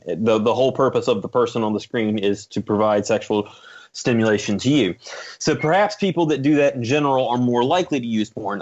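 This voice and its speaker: Male expert interviewee, medium pitch, academic and careful explainer, slightly dull recorded sound. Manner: measured, academic, careful qualifying. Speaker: guest expert, psychology professor.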